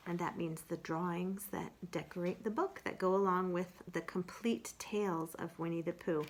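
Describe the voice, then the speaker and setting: A woman working as a children's storyteller, chatting casually to young listeners and introducing a storybook. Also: fairly low pitch. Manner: animated, unhurried storytelling.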